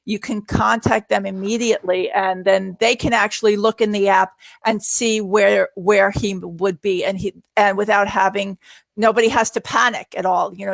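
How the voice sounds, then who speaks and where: A woman's voice: mid-range voice, creaky, dry recording. A middle-aged woman answering questions in a casual live Q&A, speaking in an earnest, reassuring way. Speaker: guest answering viewer questions.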